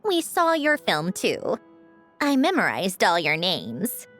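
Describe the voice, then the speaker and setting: A woman's voice: mid-range voice, wildly swooping pitch, takes it slowly, a bit bright, faint music behind, heavily acted. A woman voicing a prickly, dry-witted young woman in a game, curt and sometimes flustered. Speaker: game character.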